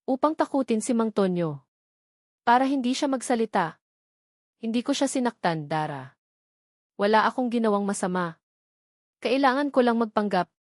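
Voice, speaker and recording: A woman's voice: fairly high pitch, animated, moderate pace, pauses often, no echo, studio quality, natural voice. A woman voicing a serious, wronged man who confesses his secret in an audio love drama. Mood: serious, defensive.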